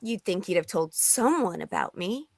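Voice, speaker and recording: A woman's voice: medium pitch, animated, moderate pace, creaky, darkish tone, no echo. A woman playing a confident, flirtatious female archaeologist in a live script table read. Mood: sly, confident.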